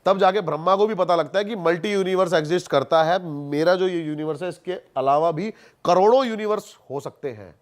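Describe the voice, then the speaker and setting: Emphatic, higher-voiced man. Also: fairly quick, few pauses. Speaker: podcast guest. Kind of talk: casual podcast chat, storytelling and explaining.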